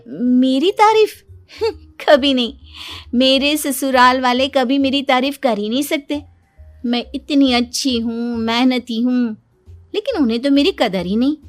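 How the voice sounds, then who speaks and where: A woman voicing a self-pitying, complaining daughter-in-law in a Hindi cartoon story, whiny and dramatic. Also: high voice. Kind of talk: animated Hindi story dialogue.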